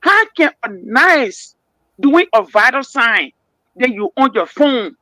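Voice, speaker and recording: A woman's voice: fairly high pitch, big pitch swings, takes it slowly, bright, forceful tone, no echo. A woman with a Liberian accent calling in to a radio talk show, speaking emphatically and often stammering and repeating words. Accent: Liberian English. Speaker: caller.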